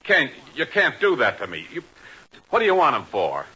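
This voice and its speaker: An actor playing a gruff, exasperated chief of a spy agency, in 1950s radio acting style on a muffled old broadcast. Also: male voice, mid-range voice, wildly swooping pitch, normal speed, sharp tone, exaggerated voice. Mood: dismayed.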